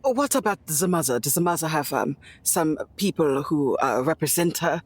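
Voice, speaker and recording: A woman chats casually as a player in a tabletop game. Her voice is on the low side, and the sound is dry with very little room in it. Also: lively intonation, moderate pace, husky, no room sound.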